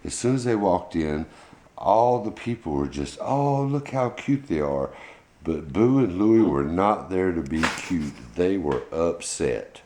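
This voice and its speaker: A man's voice: deep voice, animated, unhurried, mellow, roomy sound, slight background noise. A man telling an informal children's bedtime story in a folksy, colloquial way. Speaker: pet channel host telling a bedtime story.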